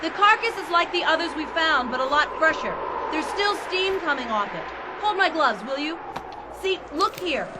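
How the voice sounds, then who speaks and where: An actress playing an outspoken, skeptical physician at a mining colony in a radio drama, with a blunt, argumentative manner. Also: female voice, sits high, animated, moderate pace. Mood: tense, analytical. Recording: noisy ambience behind the voice.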